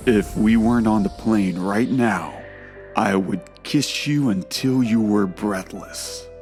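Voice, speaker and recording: A woman voicing a cold, powerful young billionaire man who speaks low and hushed in an audio drama. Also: takes it slowly, faint music behind. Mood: tender.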